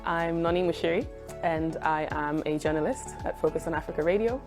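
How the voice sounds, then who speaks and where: A woman, a radio journalist, telling an animated story and acting out quotes with lots of laughter. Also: deep voice, brisk, over music. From Kenya. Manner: lively, acts out quotes, laughs a lot.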